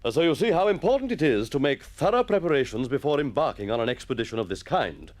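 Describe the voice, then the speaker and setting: A man's voice: mid-range voice, sing-song, quick pace, no echo, close to an everyday voice. A man reading a story, voicing a stern, irritable schoolmaster who lectures pompously. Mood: lecturing. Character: stern, exasperated male schoolmaster.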